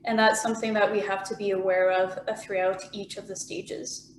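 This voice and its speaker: A woman of 28 presenting a team project, explaining each step in a steady way. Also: mid-range voice, moderate pace, a little hiss or hum. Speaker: student presenter. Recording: echoey room.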